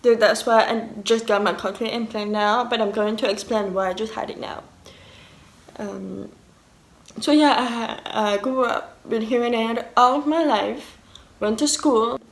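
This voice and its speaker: A woman's voice: fairly high pitch, fairly even intonation, unhurried, roomy sound. Young French-Canadian woman with a deaf accent. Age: 20s. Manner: candid, fillers and restarts, quoting others. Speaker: vlogger. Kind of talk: casual personal vlog.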